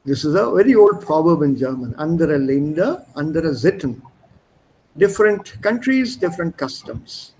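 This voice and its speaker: A man's voice: a little high, expressive, moderate pace, darkish tone, slight background noise, rough recording. A man teaching German in an online class, explaining words and switching between German and English. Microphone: video call.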